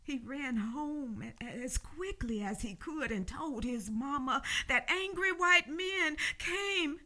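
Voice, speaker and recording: A woman tells a grave story for a radio drama, solemn and emphatic. She speaks slowly, and her high, bright voice rises and falls widely. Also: animated.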